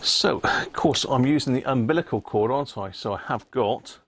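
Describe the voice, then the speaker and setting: A man's voice: medium pitch, moderate pace, a bit bright, slight background noise. A British man hosting a casual livestream, thinking aloud in a rambling, self-mocking mutter. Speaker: livestream host showing radio gear.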